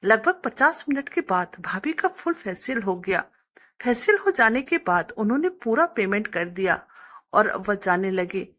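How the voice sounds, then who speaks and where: Woman narrating with lively, swinging pitch. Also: normal speed. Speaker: story narrator. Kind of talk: scripted first-person story narration with acted dialogue.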